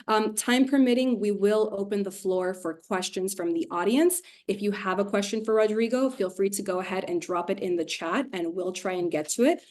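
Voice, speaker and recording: A woman's voice: medium pitch, normal speed, hardly any pauses, a little mellow. A woman hosting a webinar interview on a video call, friendly, with a partly scripted intro and some "uh" fillers. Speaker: host, community manager.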